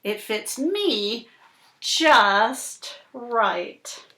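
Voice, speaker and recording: A woman voicing a small, boastful fish thief in a picture book, confiding in a deliberate storybook delivery. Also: a little high, animated, pushed and bright, exaggerated voice. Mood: smug. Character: small sneaky fish, boastful, self-assured hat thief. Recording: roomy, echoing indoor sound.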